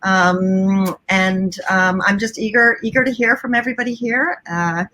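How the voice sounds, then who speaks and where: A woman from the Bahamas moderating a video-call panel, relaxed, with many fillers. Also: medium pitch, unhurried, rough recording. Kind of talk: casual panel introductions. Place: small bedroom.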